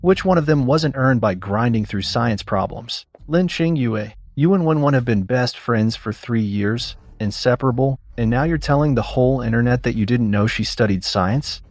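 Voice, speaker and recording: A stern middle-aged teacher in a web drama, voiced by a man. He has a medium-pitched voice and speaks with formal, firm authority. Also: expressive, normal speed, few pauses, dry recording, natural voice. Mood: reproachful. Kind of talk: dubbed Chinese web drama acting in English.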